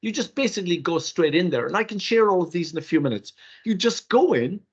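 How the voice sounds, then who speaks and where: An older Irish man whose first language is Irish Gaelic gives a webinar workshop in an animated, rhetorical way, with theatrical asides, heard over a video call. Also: sits high, quick pace, slightly rough. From Ireland. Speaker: workshop presenter. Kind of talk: webinar lecture. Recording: dull lo-fi webinar audio.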